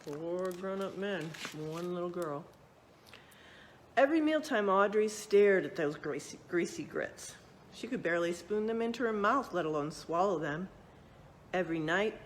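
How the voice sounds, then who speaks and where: A woman's voice: on the low side, poor audio. Woman reading to children over background noise. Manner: expressive, pausing, checking in with questions for young listeners. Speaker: read-aloud storyteller. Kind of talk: reading a children's picture book aloud with asides to listeners.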